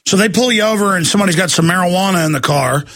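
A radio talk show host, who is losing his voice, talking in an emphatic, ranting way that climbs high when he gets worked up. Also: rapid-fire, a bit bright. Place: radio studio. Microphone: studio microphone.

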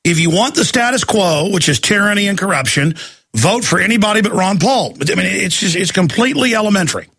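Hoarse, raspy radio host. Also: male voice, expressive, fairly quick, fairly bright tone. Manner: emphatic, ranting, climbs high when worked up. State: losing his voice. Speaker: radio talk show host. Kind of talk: radio call-in talk. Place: radio studio. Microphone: studio microphone.

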